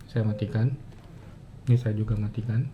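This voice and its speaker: A man giving a casual step-by-step demonstration, talking in a halting way as he thinks aloud with frequent pauses. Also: on the low side, flat delivery. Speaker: motovlogger giving a gear tutorial. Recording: muffled, dull audio with clear ambient noise.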